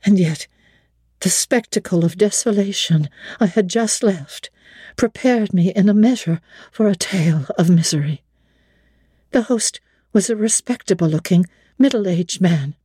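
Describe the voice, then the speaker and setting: A woman giving a measured, theatrical dramatic reading of a classic novel in a studio. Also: mid-range voice, warm tone, slightly rough, no echo.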